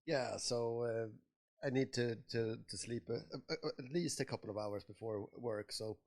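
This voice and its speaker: Gravelly Swedish man, halting speech. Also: mid-range voice, darkish tone. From Sweden. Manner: hesitant, many fillers and pauses. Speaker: podcast co-host. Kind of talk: casual chat.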